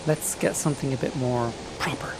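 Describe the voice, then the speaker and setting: A man's voice: medium pitch, brisk, gravelly. A man giving a casual screen-share tutorial, thinking aloud and explaining step by step in an informal, exploratory way. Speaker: tutorial presenter. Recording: shortwave radio noise and chatter behind. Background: radio static, signals and faint voices.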